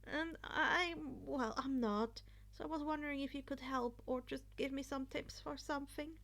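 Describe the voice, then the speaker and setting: Sheepish schoolboy acted by a woman: nervous, high and bright, tripping over words. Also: steady tone, gravelly. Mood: nervous, grateful. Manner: halting, stammering, sheepish. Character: Kaminari, nervous, grateful teenage boy classmate. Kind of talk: acted fan fiction audiobook dialogue.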